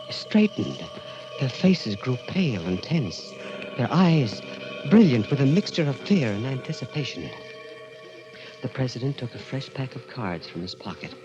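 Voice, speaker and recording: A man's voice: medium pitch, close to an everyday voice. An actor playing an uneasy, desperate man caught in a macabre tale, in 1940s radio drama style. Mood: hushed dread. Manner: hesitant in dialogue, intense and dramatic in narration. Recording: muffled old-time radio recording. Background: dramatic music.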